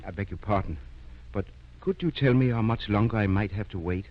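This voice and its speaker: An actor playing a kindly, determined older country doctor in an old-time radio drama, measured and earnest. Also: male voice, on the low side, lightly acted. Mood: earnest, pleading. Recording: dull vintage radio recording.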